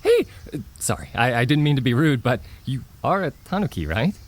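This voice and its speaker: A man's voice: mid-range voice, very animated, a little fast. A man playing a polite, slightly awkward traveler in an audio drama, casual and apologetic. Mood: awkward, friendly.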